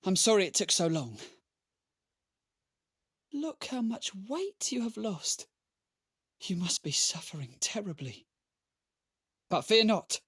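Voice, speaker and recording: Casting: frail, husky-voiced young scholar, sickly, devoted and intense, for game drama. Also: male voice, sits high, very animated, slow, pauses often, a bit bright, exaggerated voice. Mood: anxious, pleading. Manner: earnest, formal, intense. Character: ailing, desperate, devoted young male scholar seeking a cure.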